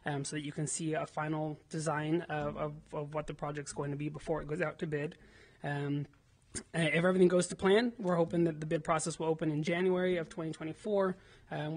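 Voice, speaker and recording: A city staff member giving a report to the council at a podium, full of ums and restarts. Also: male voice, fairly high pitch. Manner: hesitant, many ums and restarts.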